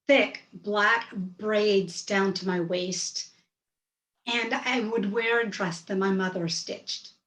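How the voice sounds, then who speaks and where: A woman's voice: mid-range voice, fairly bright tone, roomy sound, low-quality recording. A woman in her fifties from rural Georgia, whose first language is Hindi, telling a personal story in vivid, scene-setting detail over a video call. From rural Georgia, USA.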